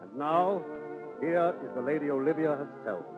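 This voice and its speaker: A man's voice: a little high, animated, normal speed. A man narrating in theatrical, declamatory 1930s radio storytelling style. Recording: tinny 1930s radio, music behind.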